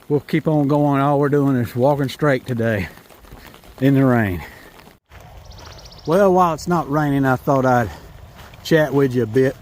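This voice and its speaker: A man with a North Carolina Southern accent chats in a rambling, folksy way, correcting himself as he goes. His voice sits at an ordinary pitch. Faint outdoor noise runs under his voice as he walks a rural trail. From North Carolina, USA. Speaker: hiking vlogger. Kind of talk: casual walking vlog to camera.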